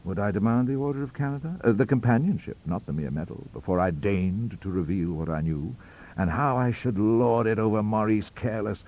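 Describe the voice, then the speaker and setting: A man's voice: lowish voice, dark tone, rough recording. A man reading a ghost story aloud as an audiobook, with theatrical, wry delivery. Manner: theatrical, wry, richly varied. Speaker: audiobook reader. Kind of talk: expressive storybook narration.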